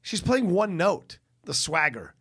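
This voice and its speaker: Male film podcast co-host, lively swooping intonation, opinionated, emphatic and sweary, conversational delivery. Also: sits high, measured pace, creaky, slightly rough. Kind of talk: casual film review chat.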